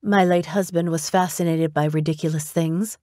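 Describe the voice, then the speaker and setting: A woman's voice: on the low side, steady tone, normal speed, warm tone, no room sound, clean studio sound, close to an everyday voice. A woman narrator voicing a prim, controlled, disapproving wealthy widow in an audiobook, with a clipped, disdainful manner. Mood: prim, dismissive.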